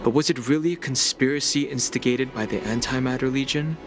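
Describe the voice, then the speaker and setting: An actor playing a calm, serious, analytical young man in a video game, speaking in measured, formal phrases. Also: mid-range voice, lightly acted. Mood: grave, pondering. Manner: measured, grave, formal wording. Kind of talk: English video game voice acting. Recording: loud background music under the dialogue. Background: game music.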